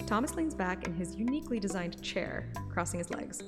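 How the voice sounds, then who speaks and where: A woman's voice: mid-range voice, lively intonation, fairly quick. A woman reading a romantic fantasy story aloud as a narrator in an informal podcast. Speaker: fan audiobook narrator and podcast host. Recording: music playing behind the voice.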